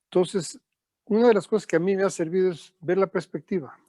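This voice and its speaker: A man with a fairly high voice speaks in a measured, reflective way during a panel discussion. His voice is recorded dry, with little room sound. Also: mellow, dry recording.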